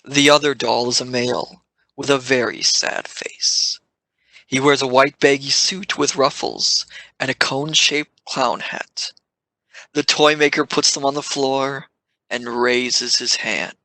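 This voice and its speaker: A man's voice: mid-range voice, steady tone, a little slow, pushed and bright, dry recording, rough recording. A man reading scripted stage narration for an audio drama.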